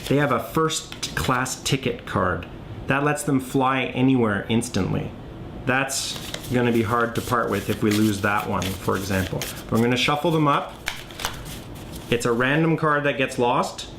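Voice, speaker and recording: A man with a mid-range voice walks through a board game in a casual, unscripted way. He thinks aloud as he demonstrates each move, like a designer teaching players. The recording has noticeable noise and a low rumble. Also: moderate pace. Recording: clearly noisy, low rumble.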